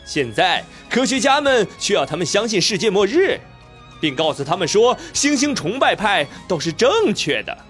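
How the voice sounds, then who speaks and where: A man playing a polite but defiant young investigative journalist in a radio drama, measured and argumentative. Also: sits high, sing-song, fairly bright tone. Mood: polite, challenging. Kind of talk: Chinese radio drama acting. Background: music.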